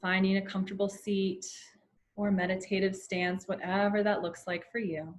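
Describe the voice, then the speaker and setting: A woman leading a guided meditation in a soothing, unhurried way with long pauses between phrases. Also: medium pitch, little pitch movement.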